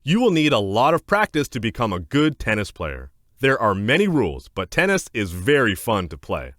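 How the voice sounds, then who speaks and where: A man narrating a children's educational book aloud in a scripted, clear and deliberate instructional manner. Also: mid-range voice, wildly swooping pitch, a bit bright, no room sound, studio quality.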